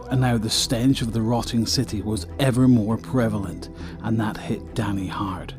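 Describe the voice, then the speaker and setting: A man reads scripted drama narration. His pitch is on the low side, and music is clearly audible behind him.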